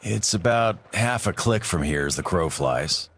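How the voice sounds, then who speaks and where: A gruff soldier game hero, low gravelly voice, takes his time. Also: expressive, measured pace, fairly bright tone, slight background noise, exaggerated voice. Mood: laconic, agreeable. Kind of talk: video game cutscene acting.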